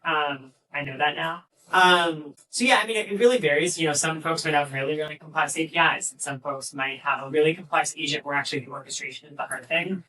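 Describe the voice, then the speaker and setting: A man answering as a panelist at a live fireside chat, explaining in a halting way, picked up by a distant mic. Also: fairly high pitch, sharp tone. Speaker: panelist, AI agent engineer. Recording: distant mic, poor event audio.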